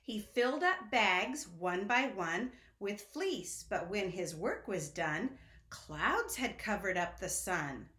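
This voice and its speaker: A woman reads a children's story in a lively, swooping, sing-song way. She takes her time and speaks directly to young listeners, in an echoey room. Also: medium pitch, slow pace. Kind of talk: children's picture-book read-aloud. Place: indoor room at home.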